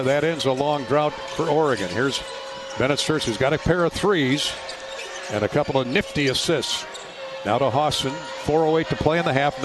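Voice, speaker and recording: An American man doing live play-by-play radio commentary of a basketball game. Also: a little high. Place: indoor basketball arena. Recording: clear arena noise behind, ball bounces. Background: game ambience, bouncing balls.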